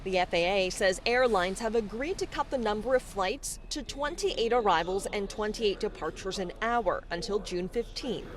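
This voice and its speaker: American woman news reporter, noisy outdoor location. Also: medium pitch. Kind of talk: scripted live news report. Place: outdoors at an airport, live report.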